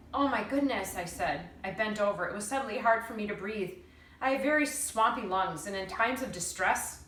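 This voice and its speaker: A woman teacher reading a children's story aloud with expressive, dramatic narration and deliberate phrasing. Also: mid-range voice. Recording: roomy, distant mic, faint noise.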